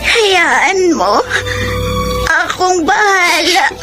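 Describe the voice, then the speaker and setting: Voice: very high-pitched, expressive, drawn-out words, over loud music, exaggerated voice. A performer playing a frail, adoring young child in a Filipino radio drama, with a childlike, plaintive delivery. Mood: fragile, hopeful.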